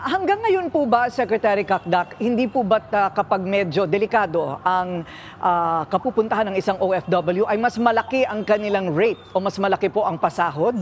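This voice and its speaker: A woman's voice: medium pitch, slight background noise. A Filipino woman working as a news anchor, politely putting questions to a guest in a broadcast interview.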